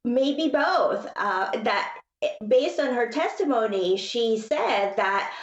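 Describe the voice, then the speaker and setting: A woman giving measured, explanatory commentary on a case as a legal analyst on a panel. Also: on the high side, lively intonation, reverberant, highs cut off.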